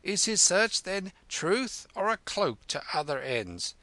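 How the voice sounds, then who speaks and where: A man's voice: sits high, very animated, slow pace, bright, forceful tone, no echo, voice pushed up, heavily acted. A man reading aloud, voicing a cunning village priest with a purring, knowing manner. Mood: sly.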